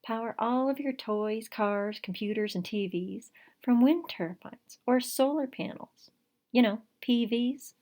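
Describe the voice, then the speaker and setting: A woman's voice: fairly high pitch, unhurried, a little mellow, lightly acted. A woman voicing an eager, curious young girl scientist in a picture book, earnest and inquisitive. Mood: earnest, persuading.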